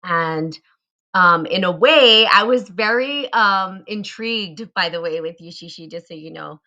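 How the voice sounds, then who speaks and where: A woman's voice: lowish voice, a little slow, bright, forceful tone. A woman hosting a casual live online show, introducing a guest in an upbeat, enthusiastic way.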